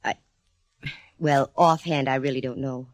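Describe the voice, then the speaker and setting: An actress playing a wisecracking private detective who also narrates, in a brisk 1940s radio drama. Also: female voice, very deep voice, steady tone, dry recording, studio quality.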